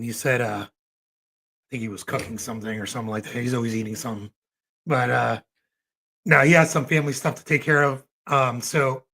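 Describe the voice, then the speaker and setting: A hoarse man hosting a casual podcast interview, talking in a relaxed, laid-back way with many ums and uhs. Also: medium pitch. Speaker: podcast host.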